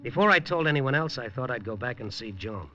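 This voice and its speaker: An actor playing a hard-boiled newspaper reporter who narrates with a wry, clipped noir delivery in 1950s radio style. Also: male voice, mid-range voice, lively intonation, a little fast, pushed and bright. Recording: dull old radio broadcast with music.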